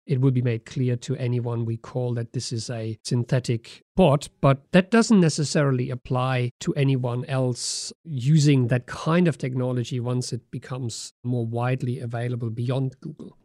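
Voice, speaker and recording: A man's voice: mid-range voice, normal speed, hardly any pauses, darkish tone, no room sound, clean studio sound. A man co-hosting a podcast, discussing in a casual way and asking rhetorical questions. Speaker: podcast co-host.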